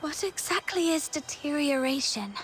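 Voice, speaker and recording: A woman voicing a puzzled, compassionate young girl in a fantasy video game, with a hushed, questioning manner. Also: high voice, expressive, moderate pace, slight background noise. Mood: concerned.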